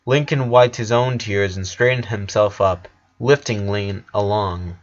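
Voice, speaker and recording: Slow-reading male narrator, dull sound. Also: lowish voice. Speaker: narrator reading a fan story aloud. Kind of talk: scripted storybook narration. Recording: dull lo-fi recording.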